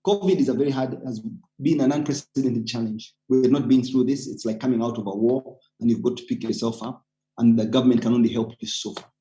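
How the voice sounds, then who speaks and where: A man's voice: medium pitch, quick pace, mellow, low-quality recording. A man with a Ugandan accent speaking as a webinar panelist, earnest and persuasive, urging people on with emphatic points. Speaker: panelist, community organizer. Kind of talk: webinar talk, personal account with exhortation.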